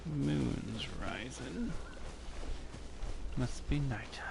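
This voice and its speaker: A man's voice: medium pitch, lively intonation, very slow, vocal fry, noisy background, heavily acted. A man voicing a laconic, observant traveler in a video game, speaking in terse, musing remarks. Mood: dry, musing. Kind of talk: video game character acting. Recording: music behind the voice.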